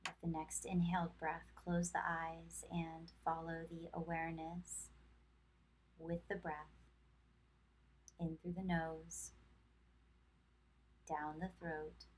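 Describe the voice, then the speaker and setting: A woman instructor leading a guided meditation in a calm, measured, soothing manner with long pauses. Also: deep voice, slight background noise, low-quality recording. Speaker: yoga instructor.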